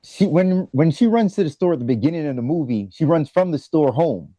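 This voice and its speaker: A man's voice: fairly high pitch, animated, fairly quick, dark tone, dry recording. A man co-hosting a podcast in casual, joking group chat. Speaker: podcast co-host.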